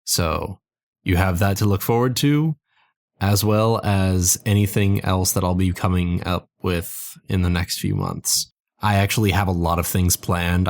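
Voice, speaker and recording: A man in his early twenties, tired with a sore throat and slight cold, chatting casually and haltingly as the narrator of a fan audio play. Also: low-pitched, no echo. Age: early 20s. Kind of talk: casual, rambling outro chat.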